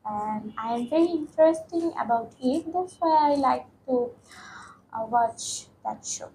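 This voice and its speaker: Casting: teen girl, high voice, slow halting answers, faint background hiss. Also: dark tone, low-quality recording. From Bangladesh. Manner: hesitant, frequent ums and pauses. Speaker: test candidate. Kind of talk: mock speaking exam answers.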